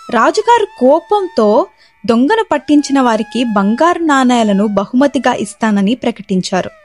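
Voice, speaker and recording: A woman narrating a scripted moral story as a storyteller. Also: high voice.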